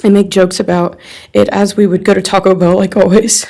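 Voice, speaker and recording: A woman's voice: mid-range voice, flat delivery, fairly quick, creaky, warm tone. A young woman reading a written personal statement aloud in a courtroom on someone else's behalf. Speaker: friend reading a victim's statement on their behalf.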